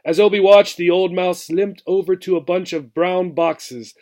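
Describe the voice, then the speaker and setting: A man reading a children's book aloud expressively, as a teacher would, pausing to explain. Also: high voice, steady tone, a little mellow. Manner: explanatory, checks in with "right".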